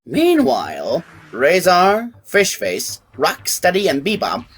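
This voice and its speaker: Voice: mid-range voice, huge pitch swings, slow, breathy edge, faint music behind. A narrator reading a scripted fan fiction story.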